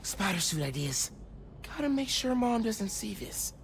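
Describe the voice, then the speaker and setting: A man's voice: sits high, big pitch swings, unhurried, slight background noise, exaggerated voice. A man playing an earnest, upbeat teenage boy superhero with an American accent, thinking aloud in a casual, youthful way in a video game. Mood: casual musing. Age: teens. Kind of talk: video game character acting, casual self-talk.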